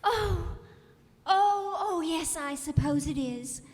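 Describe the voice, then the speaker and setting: A dreamy young carrot woman in love, acted by a woman for a radio play. The voice is very high and slow, full of tender wonder, with the ring of a hall around it. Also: animated, heavily acted. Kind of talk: stage radio drama acting. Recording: reverberant hall, stage performance.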